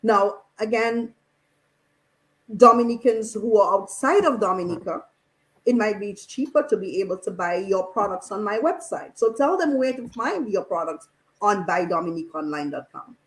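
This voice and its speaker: A woman guest on a radio panel who runs an online shop, speaking with enthusiasm and many halting restarts. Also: medium pitch, expressive, rough recording.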